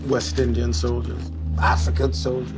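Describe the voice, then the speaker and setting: A man's voice: mid-range voice, monotone, moderate pace, over loud music, close to an everyday voice. An actor playing a man who talks history emphatically and laughs easily. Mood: emphatic. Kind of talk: film dialogue.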